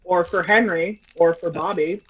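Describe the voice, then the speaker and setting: A man's voice: high-pitched, normal speed, fairly bright tone, breathy edge, rough recording. A man in his twenties, a native Scots speaker, chatting casually and jokily as co-host of a group livestream on a video call.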